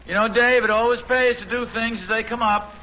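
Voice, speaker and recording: An actor playing a middle-aged American suburban dad in a 1950s radio sitcom, proud, mildly defensive, with a hesitant, folksy, dry manner. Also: male voice, very high-pitched, little pitch movement, fairly quick. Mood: self-satisfied. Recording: muffled, noisy 1950s radio recording.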